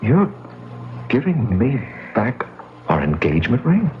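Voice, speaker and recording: An actor playing an exasperated, protective man in a radio mystery, with a rough voice and an earnest, pleading manner. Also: mid-range voice, huge pitch swings, a little slow, slight background noise. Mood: incredulous. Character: exasperated, protective man caught between fiancee and brother. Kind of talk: old-time radio mystery acting. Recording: dull, lo-fi radio drama recording.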